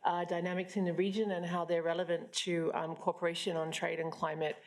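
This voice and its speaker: A woman's voice: fairly low pitch, little pitch movement, a little fast. A woman moderating a conference panel, introducing speakers in a friendly, self-deprecating way.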